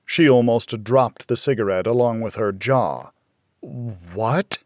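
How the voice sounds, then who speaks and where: A man's voice: medium pitch, normal speed, no room sound, clean studio sound. An American man reading scripted first-person noir thriller narration as an audiobook narrator.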